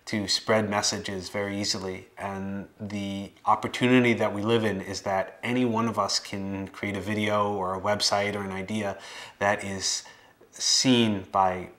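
An American man in his late twenties giving personal testimony to camera in a plain-spoken, measured way, with some hesitant phrasing. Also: on the low side, flat delivery, a bit bright. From Boston area, United States. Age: late 20s. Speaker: documentary subject telling his life story. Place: living room, indoors.

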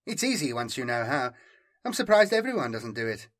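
A man's voice: mid-range voice, sing-song, a little fast, fairly bright tone. A man voicing a sly, amused, casually corrupt bureaucrat in an audiobook, teasing and unabashed.

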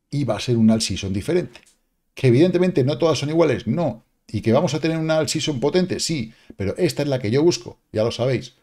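Lively man with a mellow voice. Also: medium pitch. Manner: lively, slangy, with exclamations and sound effects. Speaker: crypto market analyst. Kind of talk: casual chart commentary. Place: home studio, indoors. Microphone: webcam framing, seated at desk.

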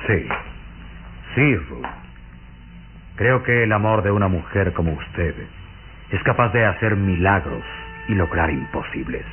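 An actor playing a sinister vampire count in a radio drama, courtly, measured and mocking. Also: male voice, sits low, lively intonation. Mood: mocking, insinuating. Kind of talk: Mexican radio drama acting. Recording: muffled old radio recording with music.

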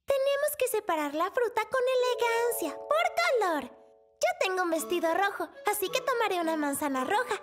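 A woman's voice: very high voice, big pitch swings, normal speed. A woman voicing a confident, fussy little animal girl in a children's cartoon dub, chirpy and emphatic. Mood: fussy, haughty. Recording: music behind the voice.

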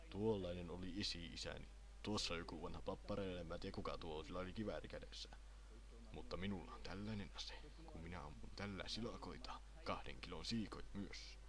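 Man putting on a very deep deadpan hunter. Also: close to an everyday voice. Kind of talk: amateur comedy fan dub of an anime.

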